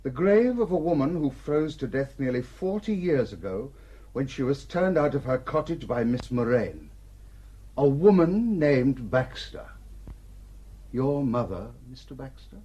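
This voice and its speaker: Mellow, lilting, shrewd village rector. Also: male voice, medium pitch, animated, a little slow, breathy edge. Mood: gently accusing. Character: courteous, shrewd male village rector, gently relentless. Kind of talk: radio drama acting.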